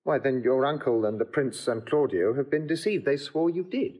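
An actor playing a witty, boisterous bachelor in a classical audio comedy, jovial, teasing and declamatory. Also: male voice, mid-range voice, lively intonation, moderate pace, a little mellow, close to an everyday voice, low-quality recording. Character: witty, boisterous confirmed bachelor giving in to love.

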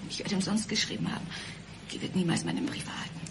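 An actress playing a frightened, despairing wife who awaits her murder, speaking haltingly in a German radio crime drama. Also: lowish voice, wildly swooping pitch, gravelly, in a whisper, exaggerated voice. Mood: desperate. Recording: 1990s radio play with music behind.

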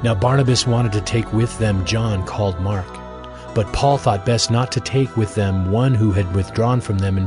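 A man narrating scripture as a scripted audio drama, in a measured, formal manner. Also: on the low side. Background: music.

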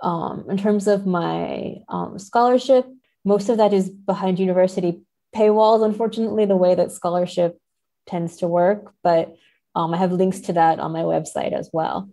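Mellow, low-voiced woman in conversation. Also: fairly even intonation, normal speed. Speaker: podcast guest, academic. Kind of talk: casual interview chat.

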